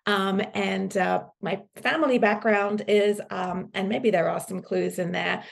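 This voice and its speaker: Very creaky-voiced woman being interviewed. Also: medium pitch, steady tone, a little slow. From UK, countryside in Suffolk, England. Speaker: podcast guest, business executive. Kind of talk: conversational interview answers.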